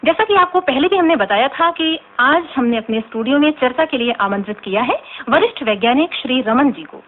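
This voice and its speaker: An actress playing a friendly, curious radio host, asking polite, articulate questions in a scripted drama interview. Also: female voice, high-pitched, animated, brisk. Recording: tinny, band-limited, noisy radio recording.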